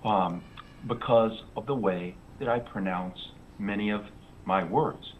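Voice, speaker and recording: A man's voice: lowish voice, unhurried, a little hiss or hum. A middle-aged man with a New England American accent, coaching English over a video call in an encouraging way and repeating himself for emphasis. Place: indoor room. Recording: slightly dull video call audio.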